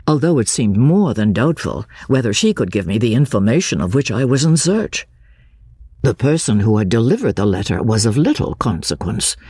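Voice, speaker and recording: Guy doing dramatic audiobook narration, old classic novel style, raspy voice, lots of swoop, theatrical and measured. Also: mid-range voice, dark tone, no room sound, studio quality.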